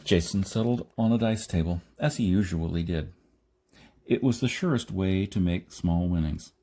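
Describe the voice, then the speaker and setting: A man reading a science fiction novel aloud as scripted narration, with a halting delivery that sometimes stumbles. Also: deep voice, warm tone. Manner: halting, stumbles and rereads phrases. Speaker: audiobook reader.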